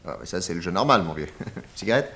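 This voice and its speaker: An actor playing a genial, self-assured private detective of 1910 in a French radio drama, urbane and expansive. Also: male voice, low voice, sing-song, quick pace, sharp tone, full character voice. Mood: amused, assured.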